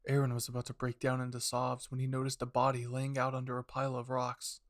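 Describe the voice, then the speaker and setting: A man's voice: medium pitch, completely flat delivery, fast, no room sound, clean studio sound. A man narrating a scripted action story with an intense, dramatic delivery. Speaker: fan fiction narrator.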